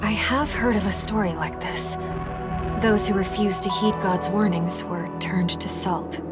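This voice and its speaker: A woman's voice: medium pitch, unhurried, lightly acted. A woman playing a shrewd, composed young investigator in a video game, thoughtful and matter-of-fact. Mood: composed, wary. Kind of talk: dramatic video game voice acting. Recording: loud music under the voice.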